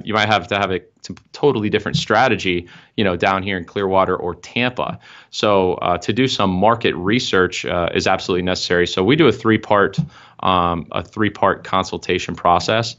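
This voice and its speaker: A young man speaks from an office over a video call, his voice very low and the audio a bit dull and lo-fi. He explains things in a salesy, step-by-step way, sprinkled with uhs and ums. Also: a bit bright. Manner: explanatory, pitch-like with fillers. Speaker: marketing consultant guest. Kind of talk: interview answers. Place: office room.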